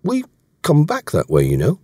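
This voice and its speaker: A man's voice: on the high side, wildly swooping pitch, normal speed, a little mellow, husky, dry recording, clean studio sound, voice pushed up, full character voice. A man voicing an earnest, awkward English yachtsman in an audiobook, answering in a short, dogged, defensive way. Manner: short, defensive, offhand replies.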